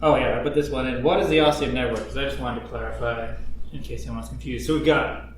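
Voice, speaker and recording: A man's voice: medium pitch, steady tone, fast, heavy reverb, recorded from a distance, a little hiss or hum. A man hosting a casual podcast chat, explaining things step by step and laughing often. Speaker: podcast writer and producer.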